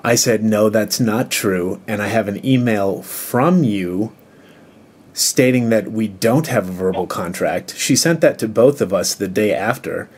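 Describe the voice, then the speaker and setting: A man's voice: fairly low pitch, a little mellow, husky. An aggrieved, confrontational man arguing on a recorded telephone call. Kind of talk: tense phone argument.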